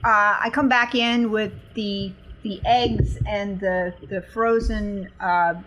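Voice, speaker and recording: A woman's voice: mid-range voice, steady tone, slow pace, a bit bright, slight background noise, poor audio. A woman playing a tabletop role-playing game, speaking casually and bantering in character.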